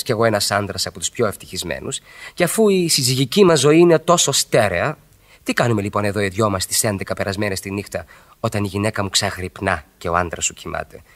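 A man's voice: on the low side, natural voice. An actor playing a witty, ironic married man who flirts by argument in a Greek radio play, with articulate, theatrical delivery. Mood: earnest, coaxing.

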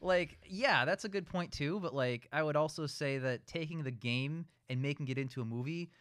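A man's voice: fairly high pitch, normal speed, fairly bright tone. A man co-hosting a casual debate, talking in an argumentative way and swearing freely. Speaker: podcast co-host. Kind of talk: casual debate about movies and games.

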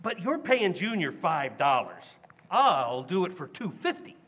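Man doing a blustering dad, high voice, bright, lively, muffled. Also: unhurried, slightly rough, exaggerated voice. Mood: pleading. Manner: brash, boastful, chuckling. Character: blustering middle-aged father Riley, boastful and brash. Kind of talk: 1940s radio comedy acting.

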